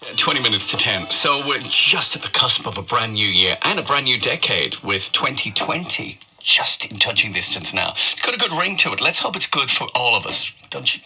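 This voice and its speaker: A man's voice: mid-range voice, very animated, normal speed, pushed and bright, breathy edge. A man presenting a music show on the radio, talking in links between songs.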